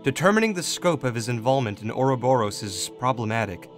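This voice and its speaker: Weary god statesman played by a man, polite and restrained, audio drama with soft underscore. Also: mid-range voice, lively intonation, quick pace, faint music behind, natural voice. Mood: grave. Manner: restrained, formal. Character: weary, diplomatic male god, measured and apologetic.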